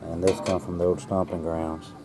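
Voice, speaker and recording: A man's voice: low-pitched, little pitch movement, moderate pace. A plainspoken, folksy man musing in short phrases as he casually shows his finds to a handheld camera. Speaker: artifact hunter filming his finds. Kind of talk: casual first-person show-and-tell commentary. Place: outdoors, handheld camera close to the ground. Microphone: handheld camera mic. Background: radio music playing in the background.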